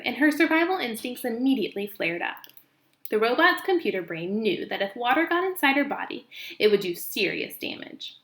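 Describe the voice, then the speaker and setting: Female read-aloud narrator for children's fiction, lively and expressive intonation, mid-range voice, roomy indoor sound. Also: mid-range voice. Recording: echoey room.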